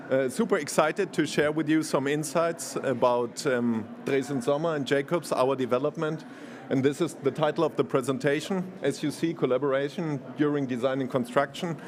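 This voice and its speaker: A man from Germany opening a conference talk, mid-pitched and friendly. He hesitates often, restarts his sentences and laughs easily as he goes. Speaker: conference speaker. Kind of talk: conference presentation.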